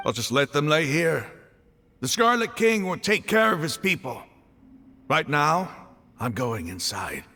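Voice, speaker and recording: An actor playing a devout, proud and touchy desert zealot leader in a video game, with a forceful, gravelly delivery. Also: male voice, a little high, big pitch swings, slight background noise, exaggerated voice. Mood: resolute. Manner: fervent, slow, dramatic. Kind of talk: video game character acting.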